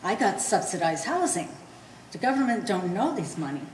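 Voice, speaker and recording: In a reverberant hall with faint noise, a woman acts out an old immigrant mother in a stage play. She is shrewd and quarrelsome, arguing in blunt broken English with a lively, swooping delivery. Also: mid-range voice, moderate pace, natural voice. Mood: indignant. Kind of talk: live stage play acting. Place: art gallery stage reading.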